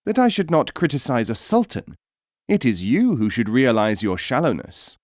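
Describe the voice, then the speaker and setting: A man voicing a sharp-tongued blind dervish in a storybook reading, declamatory and scolding, full of righteous indignation. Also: medium pitch, sing-song, moderate pace, dry recording, studio quality, lightly acted. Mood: stern rebuke.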